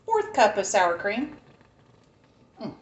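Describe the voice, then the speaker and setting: A woman's voice: mid-range voice, big pitch swings, takes it slowly, reverberant. A woman with a Southern American accent, chatting casually and thinking aloud as she cooks in her home kitchen. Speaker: home cook. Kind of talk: casual cooking vlog chat.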